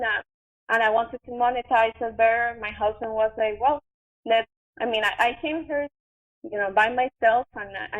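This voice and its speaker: Thin, band-limited audio carries a young woman's high voice with a Venezuelan Spanish accent. She talks casually as an interview guest, halting and restarting as she tells her story in a conversational way. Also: narrow, tinny audio. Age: young adult. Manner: halting, filler-heavy, conversational. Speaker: podcast guest, actress and chef.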